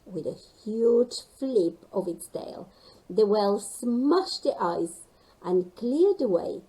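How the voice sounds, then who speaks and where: Casting: female children's storyteller, mellow, unhurried, expressive. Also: mid-range voice, poor audio. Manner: animated, addresses young listeners with questions.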